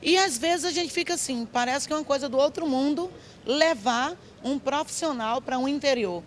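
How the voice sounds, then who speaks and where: A woman's voice: high voice, normal speed. A woman with a Bahian Brazilian accent giving spontaneous personal testimony in a street interview. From Brazil, interior of Bahia, raised in Salvador. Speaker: member of the public, community leader and church president. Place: outdoor public square, on a small stage. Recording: outdoor TV recording with street ambience.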